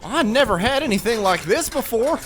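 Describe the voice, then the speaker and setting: A man's voice: very high voice, lively intonation, brisk, fairly bright tone. A man voicing a dim, good-natured young crewman with a hesitant, goofy way of talking.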